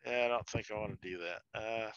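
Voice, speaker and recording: A man with a fairly deep, forceful voice talks casually as a tabletop player. The recording is dry and somewhat dull. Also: a little fast, clear, resonant tone, no room sound. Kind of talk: casual chat during tabletop play. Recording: dull, dry, lo-fi microphone sound.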